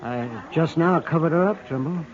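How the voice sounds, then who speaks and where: An actor playing a kindly, frank frontier town doctor in a 1950s radio western, consoling and plainspoken. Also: male voice, on the high side, moderate pace, natural voice. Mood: somber, consoling. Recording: muffled 1950s radio recording.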